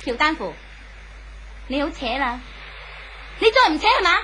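A woman's voice: high voice, sing-song, normal speed. A woman playing a jealous, despairing spurned wife in a 1970s radio drama, impassioned and brittle, with a Hong Kong Cantonese accent. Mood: furious. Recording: faint hiss on old radio recording.

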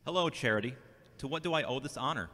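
A man's voice: medium pitch, animated, fast talker, very noisy, natural voice. A man playing a wry, guarded, reflective character with a dryly formal way of talking in an audio drama. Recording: echoey hall-like reverb.

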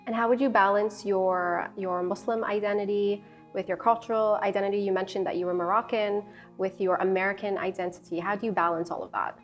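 A woman's voice: medium pitch, fairly even intonation, faint music behind. Upbeat young woman interviewer. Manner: upbeat, affirming, brisk questions. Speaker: interviewer, host. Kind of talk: interview questions. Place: dark studio set.

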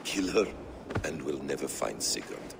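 A watchful warrior counsellor in a video game. His low, creaky voice is measured and grave, with faint ambient noise behind. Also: animated, heavily acted. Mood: wary. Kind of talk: dramatic video game acting.

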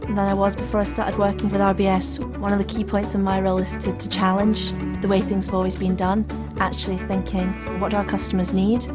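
A woman's voice: medium pitch, little pitch movement, fairly quick. A woman in her twenties, a business analyst, sounding upbeat and self-assured in a corporate testimonial. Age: 20s. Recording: loud music bed.

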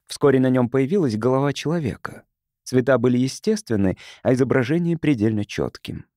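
A man's voice: medium pitch, animated, brisk, mellow, no room sound, studio quality. A man reading a science fiction audiobook as a scripted narrator.

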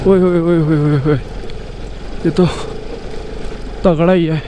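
An Indian man narrating from his motorbike on a rugged outdoor road, slightly high-pitched and full of excited exclamations. His talk is chatty and off the cuff. Faint wind and engine noise sit under the voice from a mic on his gear. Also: slow pace. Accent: Hindi-speaking Indian. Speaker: motovlogger. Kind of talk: casual running commentary while riding.

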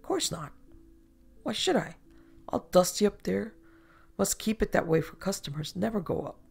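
A woman's voice: medium pitch, lively intonation, moderate pace, gravelly, darkish tone, slightly rough, faint music behind. A woman voicing a drunk, leering male showman who talks in clipped, slurred bits with a wheezy voice. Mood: dismissive.

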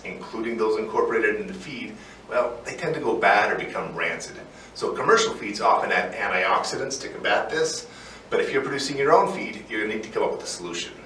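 Man, 40s, co-host, plain low-voiced explainer, punches key terms, distant roomy mic. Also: a bit bright. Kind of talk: scripted educational explainer. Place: indoor studio set with barn-wood backdrop. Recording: roomy echo, distant mic, faint noise.

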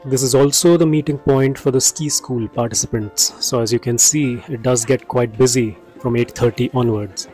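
A man narrates a ski trip guide in a steady, informative voice-over. His pitch sits in the middle range. Light music plays beneath him. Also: moderate pace, hardly any pauses, poor audio. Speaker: travel vlogger narrating.

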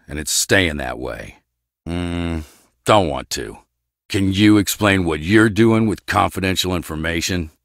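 A very deep, gruff, guarded scientist. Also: male voice, no room sound, clean studio sound, heavily acted. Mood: curt, guarded. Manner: terse, clipped, deadpan. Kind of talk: video game voice acting.

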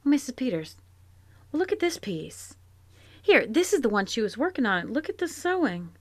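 A woman's voice: on the high side, big pitch swings, normal speed, no room sound. An actress playing a shrewd, plainspoken farm wife in a live audio drama, wry and rural in her talk. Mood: searching. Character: shrewd, sympathetic farm wife, middle-aged. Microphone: home closet mic, dry.

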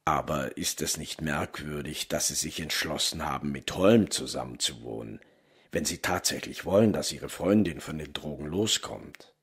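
A man playing a calm, probing police detective who narrates his case in a crime radio play, measured and matter-of-fact. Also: a bass voice, husky, dry recording, studio quality, heavily acted.

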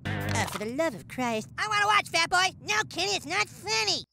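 Foul mouthed cartoon schoolboy, high voice, really animated, blunt and crude. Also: moderate pace, creaky, music in the background, full character voice. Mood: irritated. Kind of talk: animated comedy dialogue.

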